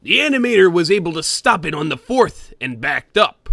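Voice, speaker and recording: A man voicing a greedy, grumpy cartoon crab boss who reads a horror story in a theatrical, expressive way. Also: high voice, normal speed, vocal fry, no room sound, studio quality, full character voice. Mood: dramatic, ominous. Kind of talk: dramatic creepypasta reading.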